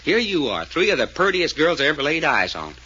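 Bright, folksy detective, vintage radio. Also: male voice, on the high side, very animated, brisk, slightly rough, exaggerated voice. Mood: folksy, puzzled. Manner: folksy, ungrammatical, stammering when flustered. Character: folksy, good-natured, excitable male detective with drawling slang. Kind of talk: 1940s radio serial acting. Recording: dull vintage radio recording.

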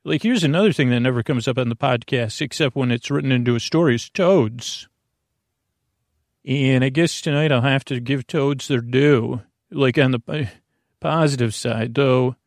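A man with a creaky voice hosting a bedtime podcast, rambling haltingly through tangents in a soothing, lulling way. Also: mid-range voice, dry recording, studio quality. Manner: meandering, halting, full of tangents. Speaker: podcast host.

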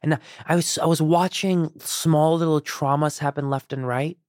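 A man who grew up in Iran and speaks Persian as his first tongue, sharing memories as a guest. His voice sits a bit high, and he rambles with many fillers and restarts. The sound is dry and close to the mic. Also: fairly even intonation, normal speed, darkish tone, no room sound. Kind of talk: candid conversational storytelling.

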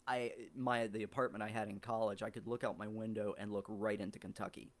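A man's voice: lowish voice, level tone, fairly quick. A man from Ohio, a writer, speaking as a podcast guest in casual chat, rambling with many ums and restarts. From southern Ohio, US.

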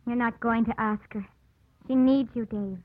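A tinny old radio recording carries an actress as a gentle young woman in love. Her voice is fairly high and husky, acted with self-sacrificing restraint. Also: steady tone, normal speed, dry recording, natural voice. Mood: resigned, tender. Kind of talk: 1950s radio drama acting. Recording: thin, band-limited vintage radio recording.

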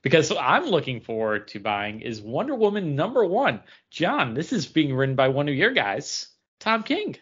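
A man's voice: on the high side, sing-song, moderate pace, few pauses, a bit bright, slightly rough. A man talking casually as a co-host on a podcast. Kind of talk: casual chat. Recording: dull lo-fi audio.

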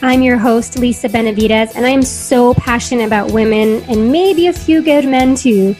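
A woman's voice: a little high, a little mellow. Enthusiastic woman podcast host. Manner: enthusiastic, encouraging. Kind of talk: upbeat podcast interview.

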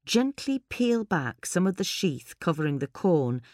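A woman's voice: lowish voice, very animated, a little slow, darkish tone, no echo, clean studio sound. A woman presenting a gardening guide as scripted instructional narration.

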